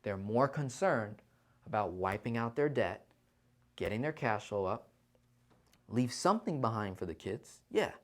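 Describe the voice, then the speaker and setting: A man's voice: on the low side, animated, a little slow, long pauses. A man hosting a casual livestream finance Q&A, talking persuasively and often checking in with listeners. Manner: conversational, persuasive, often checks in with "right?" and "okay?". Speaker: financial Q&A host.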